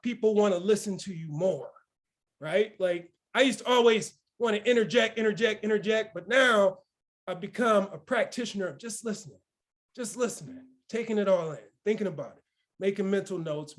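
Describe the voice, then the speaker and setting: A man's voice: sits high, normal speed. A man around fifty hosting a morning motivational session, full of energy and often checking in with "right?". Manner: energetic, keeps asking "right?". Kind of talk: motivational talk.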